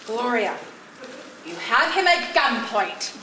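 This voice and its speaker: A woman's voice: fairly high pitch, very animated, slow pace. A woman on stage playing a keen, placating play director who steers a rehearsal in a comedy. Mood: instructing. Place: live stage at a one-act play festival. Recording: roomy stage sound with noise.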